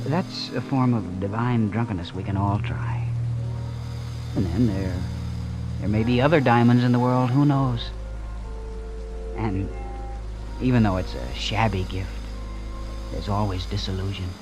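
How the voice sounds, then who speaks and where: A man's voice: lowish voice, unhurried, pauses often, natural voice. An actor as an earnest young man, old-time radio drama. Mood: wistful. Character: earnest young man, romantic and disillusioned narrator hero. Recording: vintage radio broadcast with music underneath.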